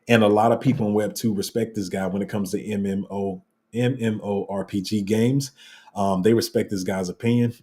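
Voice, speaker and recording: A man with a deep, rumbling voice hosting a casual livestream, reading out viewer comments and rambling along with sudden excited jumps in pitch and a slight cough. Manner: rambling and animated, voice jumping up when excited. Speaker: crypto livestream host.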